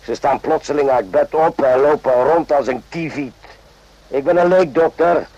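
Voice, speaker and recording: An actor playing an irritable, suspicious army medic in a radio drama, with a gruff, interrogating manner. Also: male voice, fairly high pitch, normal speed, a little mellow, dry recording. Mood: brooding, suspicious. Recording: dull lo-fi radio play recording.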